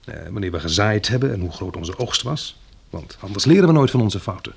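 A man's voice: lowish voice, very animated, brisk, vocal fry, slight background noise. An actor playing a weary, practical male survivor turned farmer in a radio drama, with a hesitant, musing delivery. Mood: pensive. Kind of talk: radio drama acting with diary narration.

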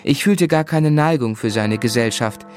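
An actor playing a polite, reserved young gentleman who also narrates, in a German radio drama. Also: mid-range voice, moderate pace, soft background music, lightly acted. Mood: reflective narrating.

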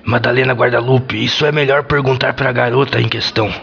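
A man's voice: mid-range voice, steady tone, a little fast, gravelly, fairly bright tone. A man playing an earnest, gallant young suitor on a date in a parody radio soap opera, delivered melodramatically. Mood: smitten. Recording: dull lo-fi audio.

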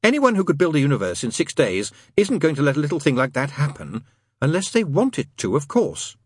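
A man's voice: a little high, very animated, moderate pace, breathy edge, dry recording, studio quality, pitched above the performer's own voice. A man voicing a restless, sardonic male demon in an audiobook, insistent and full of rhetorical questions. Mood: agitated, probing. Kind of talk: dramatised audiobook reading.

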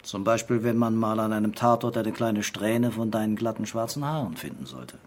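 An actor playing a wry, deliberate French police commissioner in a German radio crime drama. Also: male voice, fairly low pitch, slight background noise, natural voice. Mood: coaxing.

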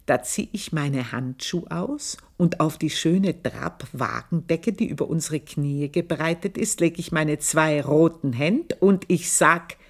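An old maidservant, proud and bitter, with a fire still burning under every word. She speaks in a low register at a very slow, deliberate pace, sometimes sinking into a hushed whisper. This is an intimate radio play monologue recorded close to the microphone. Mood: brooding. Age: older. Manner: slow, intense, dropping at times to a hushed whisper. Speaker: old maidservant telling her life story.

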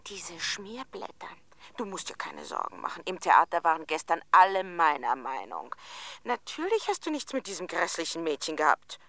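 A woman's voice: fairly low pitch, very animated, normal speed, a bit bright. A woman playing a nosy, effusive theatre actress and loyal friend in a radio drama, talking in a dramatic, exclamatory way. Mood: indignant, gossipy.

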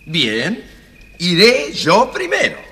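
An actor playing a nervous, boastful young fiddler in an audio storybook, stammering and repeating himself. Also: male voice, sits high, wildly swooping pitch, takes it slowly, heavy background noise, full character voice. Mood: confident. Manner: stammering, repetitive, exclamatory. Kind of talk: audio storybook character acting. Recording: long reverb, faint music behind.

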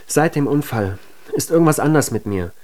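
An actor in a German radio drama playing a troubled, self-doubting young man who speaks haltingly. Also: mid-range voice, animated, darkish tone, close to an everyday voice. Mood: brooding.

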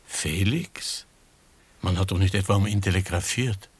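An actor playing a proud, principled doctor in a German radio drama, speaking with formal, dry politeness. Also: male voice, sits low, a little slow, breathy edge. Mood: politely reserved.

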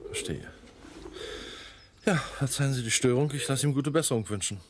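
An actor playing a polite, inquisitive and composed village gentleman in a radio drama, with an assured manner. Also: mid-range voice, normal speed, natural voice. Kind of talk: German radio drama acting.

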